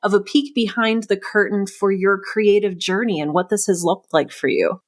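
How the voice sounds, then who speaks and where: A woman with a medium-pitched voice hosts an interview podcast. She speaks conversationally, with an effusive and encouraging manner as she addresses her guest. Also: normal speed.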